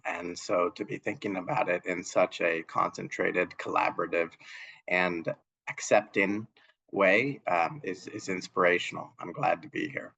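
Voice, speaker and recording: A young man speaking as a panelist in earnest, measured remarks, with frequent fillers and restarts, heard over a video call. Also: deep voice, gravelly, sharp tone, slightly rough. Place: home room on a video call. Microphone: video call mic. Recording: dull lo-fi video call audio.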